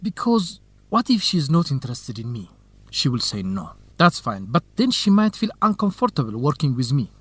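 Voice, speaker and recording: An actor playing an earnest, cautious, lovestruck young research assistant in an educational radio drama. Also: male voice, medium pitch, sing-song, normal speed, a bit bright, slightly rough, no room sound. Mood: worried. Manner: earnest, anxious, principled.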